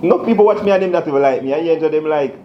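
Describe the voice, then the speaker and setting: A man's voice: fairly high pitch, racing. A Jamaican man talking casually in Patois as he hosts and mediates a vlog argument. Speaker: vlogger hosting a relationship prank. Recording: muffled, noisy home video audio.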